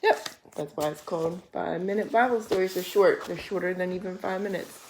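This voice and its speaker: A woman reading picture books aloud to a young child as a storyteller, pausing to explain things simply. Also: fairly low pitch, a little hiss or hum. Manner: simple explanations to a child between read passages.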